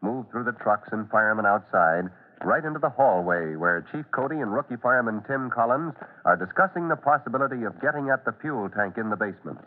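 A man's voice: medium pitch, animated, a little fast. A man with an American accent narrating an old-time radio drama in an emphatic, suspenseful style. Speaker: announcer and narrator. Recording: tinny vintage radio recording.

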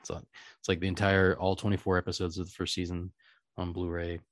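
Low-pitched man, casual rambling podcast chat. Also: flat delivery, brisk, no echo, studio quality. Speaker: podcast host.

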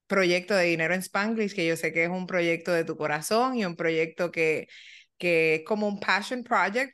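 A woman co-hosting a casual podcast, chatty and relaxed, mixing English words into her Spanish and often restarting a phrase. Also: lowish voice. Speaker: podcast co-host. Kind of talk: casual bilingual chat.

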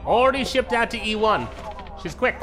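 Guarda americano de voz mais aguda. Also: male voice, high voice, expressive, normal speed. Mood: matter-of-fact. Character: matter-of-fact male police station guard on duty. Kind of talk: audio drama acting. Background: music.

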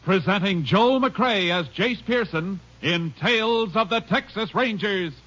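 A man's voice: high-pitched, measured pace. A man announcing a 1950s radio drama in a formal, scripted style. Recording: muffled vintage radio broadcast. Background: loud theme music.

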